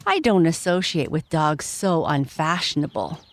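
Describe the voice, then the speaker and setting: A woman's voice: low voice, lively intonation, moderate pace, faint music behind. A woman voicing a snobbish, haughty poodle in a children's bedtime story. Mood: disdainful. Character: snobbish poodle, haughty about fashion and company.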